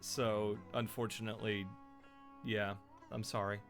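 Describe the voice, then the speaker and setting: Loud, chatty male streamer. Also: fairly low pitch, level tone, unhurried, faint music behind. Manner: loud, chatty, rambling with fillers. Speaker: streamer and voice actor. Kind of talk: casual live-stream chat.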